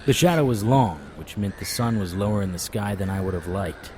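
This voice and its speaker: An actor playing a weary, wry private investigator in a noir audio drama, terse and deadpan. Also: male voice, fairly low pitch, brisk, a little hiss or hum, lightly acted. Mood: grim, tense.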